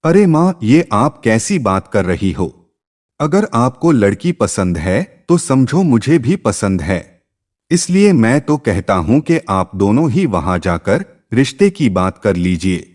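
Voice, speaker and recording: A man's voice: fairly low pitch, very animated, takes it slowly, darkish tone. A man voicing a dissatisfied, stubborn young groom in emphatic dialogue from a narrated moral story. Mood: earnest, deferring.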